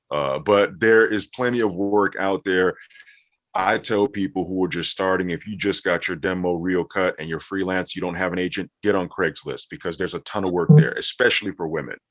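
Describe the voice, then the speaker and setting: A man with a very low voice talks in an interview as a voice actor. He explains things conversationally and emphatically, repeating points for stress. The recording sounds somewhat muffled and dull. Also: moderate pace. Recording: echoey room, dull muffled audio.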